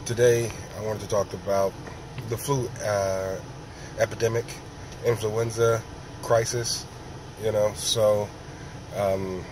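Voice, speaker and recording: A man with a sore throat and a raspy, hoarse voice, talking slowly in a rambling casual monologue, often clearing his throat. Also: lowish voice, level tone, noisy background. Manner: rambling, slow, many ums and throat clearing. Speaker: vlogger.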